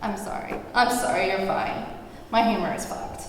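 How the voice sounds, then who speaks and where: A woman's voice: mid-range voice, steady tone, normal speed, lightly acted. A woman playing a flippant, sweary, streetwise character in her mid-twenties at a script table read. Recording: echoey room, distant mic, background noise.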